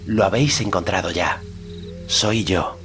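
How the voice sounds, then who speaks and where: A man's voice: deep voice, a little slow, fairly bright tone, faint music behind, voice pushed up. A man voicing a curious, self-assured young boy hero in an audiobook, speaking directly and full of questions. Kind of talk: audiobook character acting.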